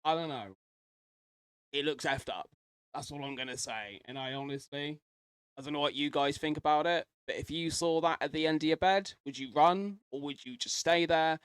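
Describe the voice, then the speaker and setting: A man's voice: a little high, a bit bright, clear, resonant tone, dry recording. Casual man reacting, dry close sound. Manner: speculative, rambling, mildly sweary. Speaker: reaction video host.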